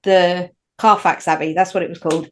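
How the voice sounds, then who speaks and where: A woman's voice: on the low side, fairly even intonation, brisk. A woman with a Northern English accent, co-hosting a casual podcast chat and rambling through her points with frequent restarts. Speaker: podcast co-host.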